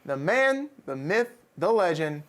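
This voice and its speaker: A man's voice: high voice, big pitch swings, a little slow. A young man hosting a campus TV studio interview, bubbly and playfully hammy. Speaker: student host.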